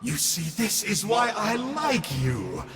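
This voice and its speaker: A slippery game villain, a wry sorcerer clerk. His highish voice is slow and creaky with theatrical swoops, set back in a long echoing reverb over music. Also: wildly swooping pitch, fairly bright tone, a little hiss or hum, full character voice. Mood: cheeky, boastful. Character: sly, theatrical male Abyss mage clerk, self-deprecating villain. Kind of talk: video game voice acting. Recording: echoey hall reverb, distant, music behind.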